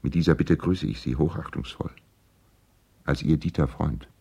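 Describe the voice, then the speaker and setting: An actor playing an earnest, conciliatory lawyer in a 1970s radio play, reading a formal letter in a deliberate, gently reproving way. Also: male voice, a bass voice, gravelly, breathy edge, exaggerated voice. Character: measured, conciliatory lawyer and loyal family friend, gently reproving.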